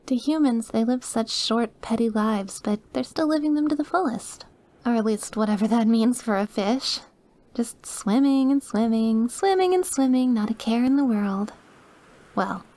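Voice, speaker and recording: A soft, fairly high young female voice performed by a woman actor for an intimate ASMR roleplay. She is a sweet, awkward, terminally ill young woman with an obsessive streak. Her delivery is hesitant and wistful, given to gushing and to breathy murmurs. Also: lightly acted. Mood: wistful, musing. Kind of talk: ASMR audio roleplay, intimate one-sided dialogue.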